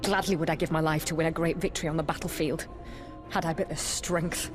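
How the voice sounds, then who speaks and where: A woman's voice: low voice, fairly even intonation, brisk, over music, natural voice. A woman playing a proud, grimly dutiful military commander in a video game, formal and submissive toward her superior. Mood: grim, resigned. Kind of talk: video game cutscene acting.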